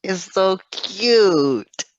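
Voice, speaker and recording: Casual reacting co-host, agreeable and giggly, slow delivery, very dry recording. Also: medium pitch, expressive, no echo, lo-fi sound. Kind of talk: casual chat.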